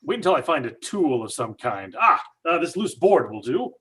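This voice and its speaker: Actor as a scornful skeptic dad in an old-time radio play, husky voice, lively pitch. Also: male voice, normal speed, heavily acted. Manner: blustering and scornful. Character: stubborn skeptical father.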